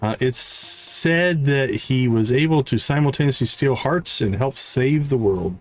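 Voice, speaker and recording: A man livestreaming a game, thinking aloud in casual commentary and reading item stats in a deliberate, musing way. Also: medium pitch, soft background music.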